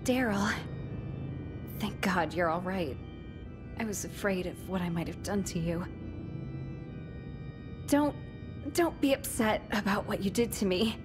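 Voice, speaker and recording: An actress playing a remorseful teenage schoolgirl in a game cutscene, speaking earnestly and haltingly, with tenderness. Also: mid-range voice, lively intonation, natural voice. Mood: relieved, apologetic. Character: remorseful teenage schoolgirl, softened former bully, sincere. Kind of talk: dramatic video game cutscene acting. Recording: loud music under the voice.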